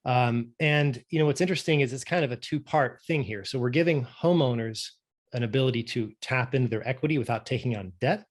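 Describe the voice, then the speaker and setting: A man who grew up in Norway speaks as a podcast guest, with a voice of ordinary middle pitch. He explains in a relaxed, conversational way, often checking in with a quick tag question. His manner is patient and explanatory. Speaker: guest, company director.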